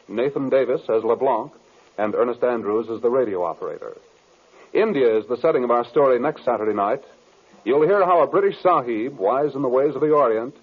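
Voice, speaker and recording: A man announcing in old-time radio style, with a husky voice and formal scripted delivery. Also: medium pitch. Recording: tinny, band-limited old radio broadcast.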